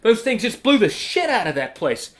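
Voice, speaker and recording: Exasperated, paranoid American man, swinging pitch. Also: very animated, moderate pace. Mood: panicky, urgent. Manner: stammering, exasperated, swearing. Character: panicky, sarcastic, paranoid conspiracy-minded boyfriend. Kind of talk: audio drama acting. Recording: clear background noise, phone-diary sound.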